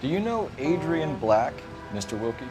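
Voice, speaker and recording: An actor playing a brisk, formal 1940s police detective in a game, businesslike and polite as he questions people. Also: medium pitch, very animated, background noise, close to an everyday voice. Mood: probing, polite.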